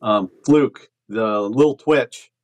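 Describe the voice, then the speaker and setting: A man's voice: lowish voice, very slow. A man appearing as a guest on a casual podcast over a video call, speaking in a plain, matter-of-fact way. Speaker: podcast guest, tournament angler. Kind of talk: casual interview chat.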